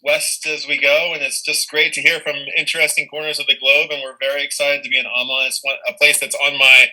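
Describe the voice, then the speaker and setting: A man's voice: fairly high pitch, flat delivery, fairly quick, bright, forceful tone, rough recording. A man hosting a live online event over a video call, upbeat and welcoming to his guests. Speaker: host.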